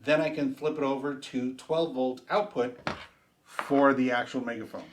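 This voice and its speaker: A man walks through a product like a casual reviewer, explaining each step in plain, folksy language. His voice has an ordinary middle pitch, and there is some faint noise behind him, with small handling clicks. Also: fairly even intonation, normal speed.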